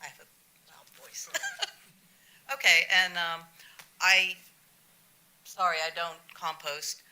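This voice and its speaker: A woman's voice: fairly low pitch, level tone, slow, sharp tone. A woman resident speaking at public comment in a direct, questioning way.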